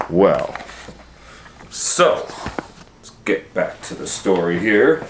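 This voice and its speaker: Animated man reading a storybook, unhurried. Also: low voice, noisy background. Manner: animated, folksy asides and questions to listeners. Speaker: storytime reader and educator. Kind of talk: children's picture-book read-aloud with chatty asides. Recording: roomy, echoing room sound.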